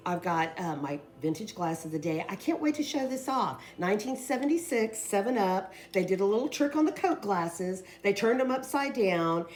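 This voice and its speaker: A woman who is losing her voice, hoarsely chatting her way through a casual craft tutorial in a rambling, informal way. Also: medium pitch, darkish tone. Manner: rambling, informal, addresses viewers as guys. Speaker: craft video host.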